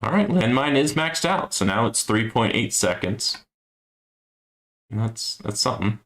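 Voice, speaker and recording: A man giving casual gameplay commentary on a stream, thinking aloud in a low-key, musing way with a gravelly voice. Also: lively intonation, unhurried, a bit bright. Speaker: game streamer.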